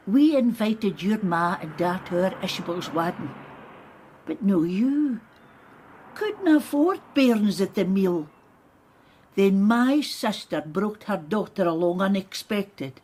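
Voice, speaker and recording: A woman playing a stubborn, wistful old Scottish aunt with a husky voice in a radio drama. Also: medium pitch, very animated, slow, a little mellow, full character voice. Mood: wistful, rueful. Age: older.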